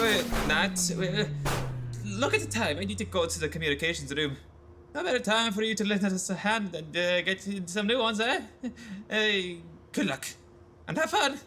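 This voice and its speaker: High-voiced chipper scientist. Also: male voice, pushed and bright, a little hiss or hum. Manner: jokey, chatty, nudging. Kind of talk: scripted sci-fi cartoon audio drama.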